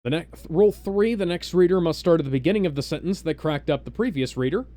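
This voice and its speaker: A man hosting a live stream, casually explaining things in a halting, rambling way with mock-serious asides. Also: a little high, expressive, dark tone, no room sound. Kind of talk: casual live introduction, explaining party game rules.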